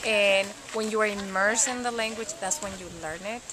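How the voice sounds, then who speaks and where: A woman's voice: medium pitch, fairly even intonation, moderate pace, noisy background. A woman from Ecuador who teaches Spanish, answering interview questions with enthusiasm and many fillers.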